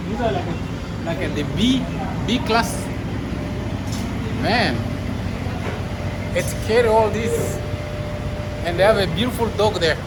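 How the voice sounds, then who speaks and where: A man with an East African accent chatting casually to his vlog as he goes, exclaiming and repeating himself. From born in Rwanda, lives in Texas. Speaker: travel vlogger. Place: outdoors at a busy ferry among trucks. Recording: loud vehicle rumble, busy crowd noise. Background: vehicles, engines, crowd.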